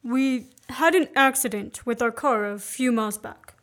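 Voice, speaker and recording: A woman's voice: fairly high pitch, normal speed, fairly bright tone, close to an everyday voice. A young woman playing a sardonic, commanding man on the run in a student radio play. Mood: brisk. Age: young adult. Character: fugitive man, sardonic, bossy, wrongly accused.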